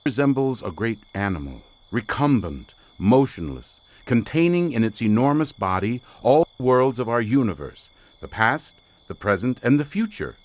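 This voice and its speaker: A fervent, imperious male researcher of the occult, played by an actor in an audio drama. He speaks in grand, formal phrases with a theatrical edge. His mid-pitched voice rises and falls dramatically. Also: big pitch swings, moderate pace, close to an everyday voice. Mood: rapturous.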